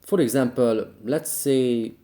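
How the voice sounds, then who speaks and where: A man's voice: mid-range voice, takes it slowly, warm tone. A man hosting a how-to tutorial, narrating scripted step-by-step instructions. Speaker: tutorial host.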